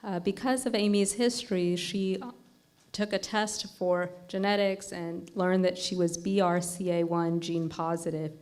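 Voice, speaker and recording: A woman's voice: on the low side, steady tone, a little slow, darkish tone. A woman surgeon giving an upbeat, slightly scripted introduction of the next speaker at an event.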